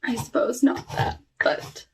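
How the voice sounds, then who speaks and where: A woman playing a lonely cursed witch in an ASMR roleplay, talking softly and wistfully with halting, hushed delivery over a noisy recording. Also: natural voice. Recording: clearly noisy with clicks.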